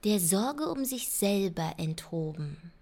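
A woman's voice: medium pitch, animated, slow pace, background noise. An actress playing a tormented, bitter young woman in a radio drama monologue, often dropping into a whisper. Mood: brooding.

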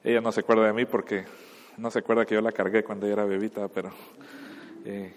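A man's voice: on the low side, level tone, quick pace, big-room sound. A man in his forties from Honduras and Guatemala, a guest pastor giving a conversational personal testimony with fillers and restarts. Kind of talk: personal testimony before a sermon.

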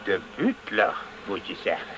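A man's voice: lowish voice, huge pitch swings, moderate pace, a bit bright. An actor playing a grumbling, leering old man on a bench in a radio play. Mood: nosy. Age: older. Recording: faintly noisy 1970s radio recording.

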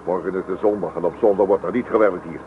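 A man's voice: deep voice, fairly even intonation, fast, close to an everyday voice. Stern, stubborn farmer father played by a man, tinny sound. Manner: firm, blunt, dismissive. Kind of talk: Dutch radio play acting. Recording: noisy tinny old radio recording.

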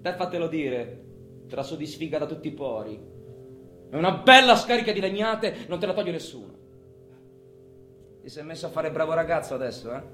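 Casting: menacing, possessive crook, sneering stage monologue, highish animated voice, echoey room. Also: male voice, brisk, pauses often. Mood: mocking. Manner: sneering, foul-mouthed, volatile. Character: taunting, possessive male criminal companion, mocking and menacing. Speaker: stage actor. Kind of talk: theatrical monologue. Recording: echoey room, music under the voice.

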